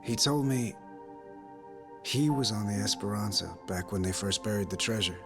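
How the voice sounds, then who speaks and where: An actor playing a subdued, reflective grown son in a video game cutscene, speaking in a quiet, plainspoken way. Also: male voice, on the low side, normal speed, music in the background, lightly acted. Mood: wistful, subdued.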